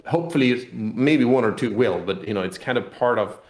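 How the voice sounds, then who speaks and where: A man's voice: mid-range voice, a little fast, darkish tone. A man explaining things casually as an interview guest, with loose, rambling phrasing. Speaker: game designer and CEO, interview guest. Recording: echoey, roomy sound.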